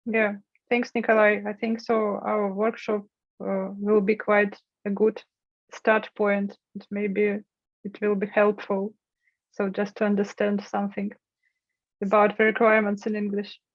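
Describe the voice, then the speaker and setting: A woman's voice: medium pitch, completely flat delivery, measured pace, dry recording. Encouraging woman hosting a video call. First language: Russian. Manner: friendly, encouraging, prompting participants. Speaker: workshop host. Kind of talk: online workshop moderating. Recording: dull lo-fi call audio.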